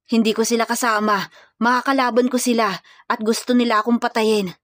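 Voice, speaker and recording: A woman's voice: on the high side, fairly even intonation, a little fast, a bit bright, dry recording, studio quality, lightly acted. A woman voicing a serious, protective young male hired killer in a dramatized romance story, firm and commanding. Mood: urgent, firm.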